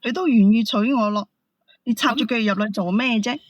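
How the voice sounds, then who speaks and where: A woman's voice: on the high side, a little fast, dry recording, clean studio sound. A woman with a Hong Kong Cantonese accent explaining herself as a caller, defensive and protesting. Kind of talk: heated personal explanation.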